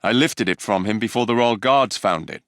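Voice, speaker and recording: A man's voice: fairly low pitch, lively intonation, normal speed, a bit bright, dry recording, clean studio sound. A man playing a cocky young Victorian English assassin in a video game, with a wry, cheeky and theatrical English delivery. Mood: breezy, insistent.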